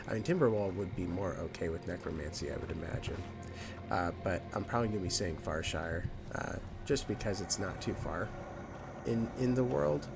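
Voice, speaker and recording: Nasal guy rambling through a gaming update, lowish voice, game music going in the back. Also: lively intonation, normal speed, creaky. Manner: rambling, with many fillers and restarts. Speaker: gaming channel host. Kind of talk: casual let's play commentary. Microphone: new microphone.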